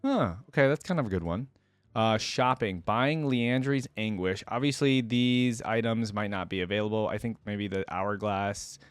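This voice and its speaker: A woman with a remarkably deep voice hosts a casual reaction video. She comments in a laid-back way with short murmured responses. The sound is dry, with no room to it. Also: normal speed, dry recording.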